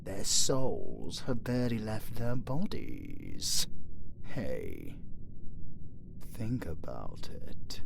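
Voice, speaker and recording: A British man playing a gleeful, flirtatious undead necromancer villain in a dark-comedy romance audio roleplay, theatrical and boastful as he talks to a lover. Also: fairly low pitch, wildly swooping pitch, extremely slow, gravelly, noisy background, full character voice. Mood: teasing, coaxing. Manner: theatrical, swinging from intimate murmurs to shrieking outbursts.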